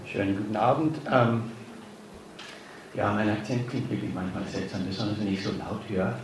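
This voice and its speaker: A man's voice: fairly low pitch, steady tone, moderate pace. Hesitant man, echoey hall. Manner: hesitant, many fillers and restarts. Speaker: author giving a reading. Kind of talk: informal introduction before a reading. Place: reading hall before an audience. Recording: echoey hall, distant mic, noise.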